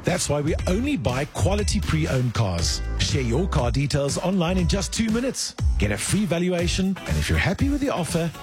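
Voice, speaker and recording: A man's voice: on the high side, sing-song, normal speed, music in the background. Reassuring man reading a car ad. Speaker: commercial voice-over. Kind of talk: scripted ad read.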